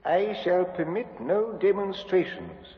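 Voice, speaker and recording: A stern British judge in a vintage radio drama. His high-pitched male voice is slow and solemn, ringing through a reverberant hall. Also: wildly swooping pitch, full character voice. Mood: stern warning. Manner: solemn, measured. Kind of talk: 1950s radio drama acting. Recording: muffled, echoing courtroom reverb.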